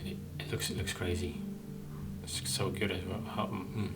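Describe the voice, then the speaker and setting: A man with a very low voice gives casual gameplay commentary. He thinks aloud and repeats phrases to himself, with game music clearly audible behind him. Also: level tone, normal speed. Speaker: video game streamer.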